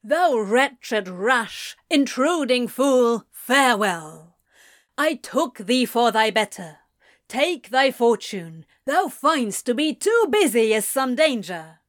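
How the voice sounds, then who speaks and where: A woman's voice: fairly high pitch, very animated, slow, no room sound, studio quality. A woman voicing a sardonic, grumbling man with a theatrical, sarcastic way of talking, in a story reading. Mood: mock-dramatic.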